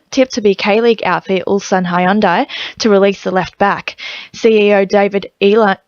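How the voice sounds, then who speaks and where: An Australian woman reads a scripted sports bulletin at an average pitch. Her audio is dry and a little dull in the top end. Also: dry recording. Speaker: sports news reader.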